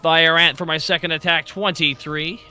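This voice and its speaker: A man's voice: a little high, fairly even intonation, normal speed, bright, forceful tone. A man taking his turn as a player in a tabletop game, chatting casually and calling out his rolls. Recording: music playing behind the voice.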